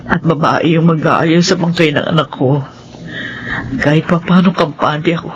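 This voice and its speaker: A woman's voice: low-pitched, fairly even intonation, normal speed, lo-fi sound, noisy background, lightly acted. An actress with a Filipino accent playing an anguished, grieving mother in a melodramatic radio horror drama. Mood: subdued. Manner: melodramatic, tearful.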